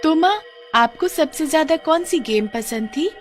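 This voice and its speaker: A woman's voice: high-pitched, lively intonation, a little slow, faint music behind, voice pushed up. A woman voicing a curious, eager young daughter in a cartoon story, childlike and inquisitive. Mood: eager, excited.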